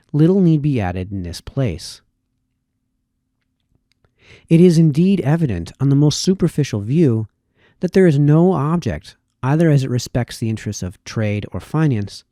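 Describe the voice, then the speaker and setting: A man reading formal historical prose aloud in a measured, deliberate way, with occasional stumbles over words. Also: mid-range voice, dark tone, dry recording, clean studio sound. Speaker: reader, host of a reading series.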